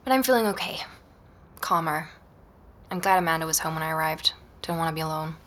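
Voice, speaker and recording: Bright-voiced anxious young woman. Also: low voice, expressive, brisk. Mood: subdued, uneasy. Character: anxious young woman investigator recounting her ordeal. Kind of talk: audio drama acting. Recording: faint background noise.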